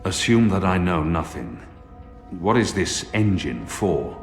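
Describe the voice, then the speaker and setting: An actor playing a calm, grim male warrior fugitive in a video game, who speaks in short, measured, deadpan lines. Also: sits low, faint music behind. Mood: stern.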